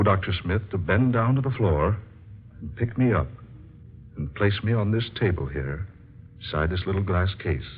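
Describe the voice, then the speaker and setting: Radio drama scientist: low voice, grave, deliberate, resolute; muffled vintage sound. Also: male voice, slightly rough. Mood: solemn, resolute. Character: determined male scientist shrinking himself with his potion. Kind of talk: old-time radio drama acting. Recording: dull lo-fi old radio recording, music.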